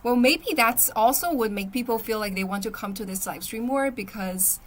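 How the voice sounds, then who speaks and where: A woman's voice: on the high side, normal speed, slight background noise. A young woman from Shanghai whose first language is Chinese, hosting a casual livestream, chatting and answering viewer comments. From Shanghai, China. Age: young adult. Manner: chatty, reads and answers viewer comments, often asks "okay?". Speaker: livestream host. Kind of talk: casual live-stream chat with viewers.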